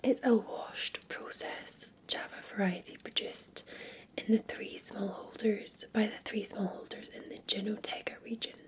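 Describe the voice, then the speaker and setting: Whispering Scottish woman, soft ASMR barista. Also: mid-range voice, little pitch movement. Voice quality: breathy whisper. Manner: gentle, unhurried, soothing. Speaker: ASMR performer playing a café barista. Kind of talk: ASMR roleplay, softly talking to the listener as a customer.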